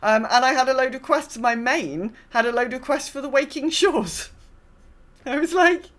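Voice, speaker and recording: A woman's voice: sits high, normal speed, a bit bright. A woman podcast host chatting casually about gaming, telling stories in a rambling, self-correcting way.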